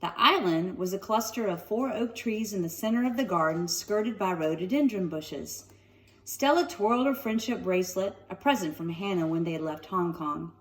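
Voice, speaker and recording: A woman reading a children's story aloud at home with expressive narration and casual asides. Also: medium pitch, normal speed, distant mic, low-quality recording. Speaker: storybook reader. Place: sunroom at home.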